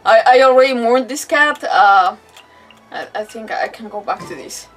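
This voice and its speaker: A woman with a very high voice chats casually as she streams a game. She rambles, restarts her thoughts and giggles easily. Music plays behind her, and the voice sits a little away from the mic. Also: lively intonation, moderate pace, far from the mic. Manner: rambling, self-interrupting, giggly. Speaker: livestreamer, gamer host. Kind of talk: casual livestream chat.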